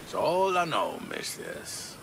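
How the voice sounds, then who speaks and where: Old game informant, very gravelly, slow, hesitant, tired and fearful, rumbling background noise. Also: male voice, huge pitch swings, full character voice. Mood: cautious. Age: older. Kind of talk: video game cutscene acting.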